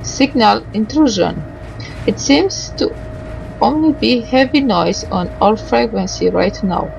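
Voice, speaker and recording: A woman doing let's play commentary, reading game dialogue aloud haltingly and adding muttered asides. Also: fairly high pitch, creaky, darkish tone, highs cut off, soft background music.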